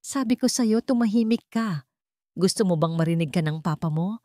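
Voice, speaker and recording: A woman's voice: lowish voice, normal speed, warm tone, dry recording, studio quality, natural voice. A woman voicing a cold, controlling and menacing fiancée with falsely sweet manners in an audio drama. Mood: quiet menace. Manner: commanding, with veiled threats. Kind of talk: audio drama character dialogue.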